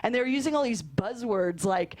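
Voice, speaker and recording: A woman's voice: fairly high pitch, a little fast. A woman guest telling stories casually and comedically, swearing and acting out what people said. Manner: animated quoting, sweary, laughs often, says "like" a lot. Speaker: guest screenwriter and filmmaker. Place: podcast studio.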